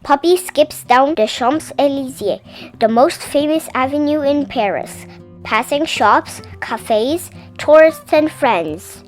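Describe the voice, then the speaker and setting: A high-pitched woman narrates a children's storybook in an expressive, animated way. She enunciates carefully for young listeners, with light music playing behind her. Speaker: children's picture book reader.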